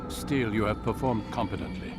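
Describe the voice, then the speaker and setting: An actor playing a stern, authoritative old master of assassins in a video game, with measured, formal, admonishing speech. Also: male voice, on the low side, loud music behind the voice, close to an everyday voice. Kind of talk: video game cutscene acting.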